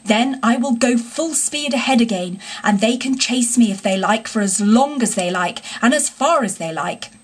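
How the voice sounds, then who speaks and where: A woman's voice: on the high side, breathy edge. A woman voicing a stern but kind-hearted male engine driver in a storybook, earnest and plain-spoken.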